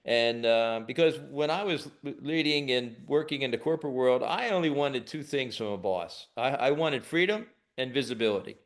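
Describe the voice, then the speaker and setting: A middle-aged American man, a leadership expert, answering questions in a webinar interview in a relaxed, conversational way with frequent restarts. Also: medium pitch, moderate pace, hardly any pauses. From United States.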